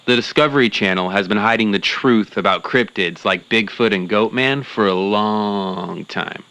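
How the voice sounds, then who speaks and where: A man's voice: fairly low pitch, normal speed, bright, forceful tone, clear, resonant tone. A man playing a self-important young cryptid-hunting believer in a comedic audio drama, earnest and easily offended. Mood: conspiratorial. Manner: petulant, earnest, melodramatic. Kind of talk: comedic audio drama acting as interview guest.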